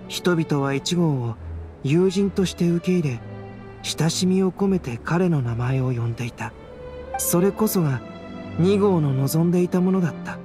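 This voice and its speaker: Man voicing a lightish-voiced calm young alchemist. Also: a little high, natural voice. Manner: composed, measured, soft-spoken, enigmatic. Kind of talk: Japanese anime game voice acting, storytelling. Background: background music.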